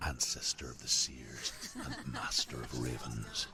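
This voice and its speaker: An actor playing a menacing, ancient dark spirit in a video game, solemn, commanding and ominous in delivery. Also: male voice, a bass voice, level tone, unhurried, background noise. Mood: ominous, foreboding. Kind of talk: dramatic scripted game voice acting.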